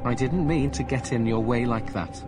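Man doing polite young hero type, earnest and apologetic, mid-pitched, music bed underneath. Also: normal speed, lightly acted. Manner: earnest, apologetic, later indignant. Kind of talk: amateur voice drama.

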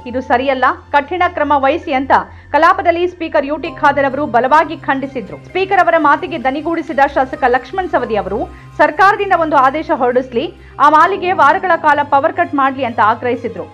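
A woman's voice: high voice, fairly bright tone. A woman reading a scripted news voice-over in an earnest tone, often asking rhetorical questions. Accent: Kannada. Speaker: news narrator. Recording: slightly dull lo-fi sound with faint music.

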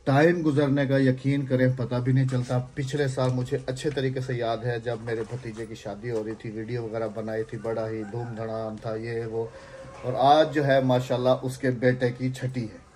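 A man's voice: mid-range voice, flat delivery, normal speed, dark tone. A middle-aged man with a Pakistani accent, chatting casually as a village family vlogger, recorded outdoors on a phone at arm's length. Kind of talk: casual chat to camera. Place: outdoors in village fields and open house courtyard.

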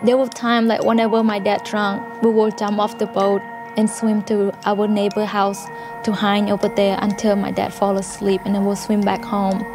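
Young woman, flat steady voice. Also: mid-range voice. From Cambodia. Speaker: interviewee. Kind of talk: personal testimony. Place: indoor studio interview set. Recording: music underneath.

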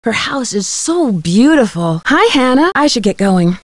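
A woman's voice: fairly high pitch, sing-song, measured pace, no room sound, studio quality. An actress playing a curious, polite young female amateur detective in a video game, friendly, inquisitive and articulate.

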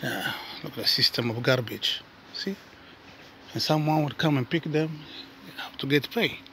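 A man, not a native English speaker, talking casually to his viewers while walking outdoors and filming with his phone, often calling them guys and dropping to soft asides. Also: mid-range voice, normal speed, a little hiss or hum. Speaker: vlogger. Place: outdoors on a suburban footpath.

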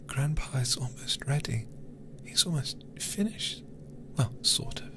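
A man voicing a young girl in a horror game, speaking in a soft, breathy, hushed and childlike way. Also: mid-range voice, expressive, measured pace, in a whisper, pitched above the performer's own voice. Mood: eerie, earnest. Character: young girl telling odd tales of her grandpa. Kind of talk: whispered game voice acting.

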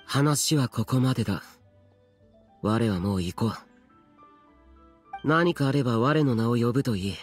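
A man's voice: medium pitch, expressive, measured pace, pauses often, slightly rough, soft background music. A man voicing an aloof, solemn immortal warrior guardian in a video game, speaking in grave, terse, archaic phrases. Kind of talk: dramatic video game dub acting.

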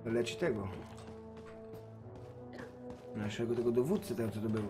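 A man's voice: medium pitch, measured pace, over music. A man doing casual livestream commentary on a game, swearing coarsely and talking back at it and his viewers. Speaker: game streamer.

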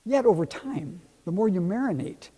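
A middle-aged male pastor preaches in a warm, slightly husky voice, his pitch rising and falling in a lively way. He speaks unhurriedly and expressively. His lapel mic picks up the long reverb of the church around him. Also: moderate pace. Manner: expressive, gesturing with both hands. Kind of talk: sermon. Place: church sanctuary, indoors.

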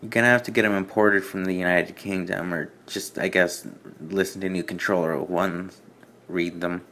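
Lowish-voiced guy riffing on bad fanfic, sardonic, keeps stopping and repeating himself. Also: fairly even intonation, moderate pace, a bit bright. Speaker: host reading fan fiction aloud. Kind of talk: casual mocking commentary on amateur writing.

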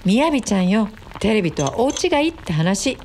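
A woman playing a chatty, teasing female office worker in a radio drama, expressive and gossipy. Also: medium pitch, brisk, slight background noise.

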